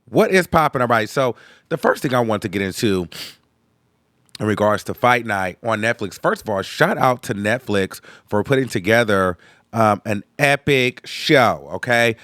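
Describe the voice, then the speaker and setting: A young man talks casually to his viewers in a chatty, animated way, often tagging his points with "okay". His voice is nasal and congested from sinus trouble. The sound is dry and close, with no room around it. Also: fairly low pitch, moderate pace, no room sound. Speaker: host. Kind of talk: casual reaction video chat.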